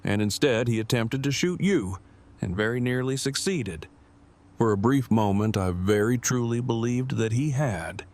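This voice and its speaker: A man's voice: medium pitch, lively intonation, moderate pace, a little mellow, natural voice. An actor playing an earnest male survivor who talks in stilted, wordy, formal phrases in an audio drama. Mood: upset, earnest.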